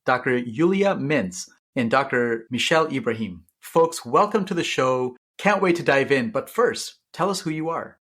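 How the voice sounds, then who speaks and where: Male co-host, mid-pitched, effusive and excited. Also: moderate pace, hardly any pauses. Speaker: podcast co-host. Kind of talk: scripted pitch then casual chat.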